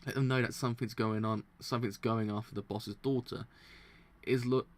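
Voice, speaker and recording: Excitable man reading comics aloud live. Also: medium pitch, normal speed. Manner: excitable, stumbles and restarts while reading aloud, bursts into laughter. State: strained throat.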